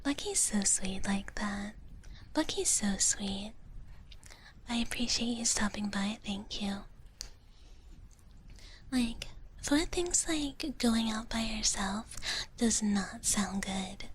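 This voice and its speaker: A woman whispering softly and breathily in a cutesy ASMR roleplay as a cozy dragon big sister, chatting with viewers close to the mic. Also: mid-range voice, slow pace, long pauses, slight background noise. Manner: cutesy, speaks of herself in the third person. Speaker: ASMR livestream host.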